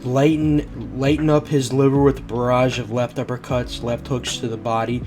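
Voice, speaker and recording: A man's voice: medium pitch, level tone, moderate pace, darkish tone, soft background music. Congested man narrating a fight breakdown. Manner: casual, slangy, with loose restarts. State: congested with a bad cold. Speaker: boxing analysis channel host. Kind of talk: voice-over fight breakdown commentary.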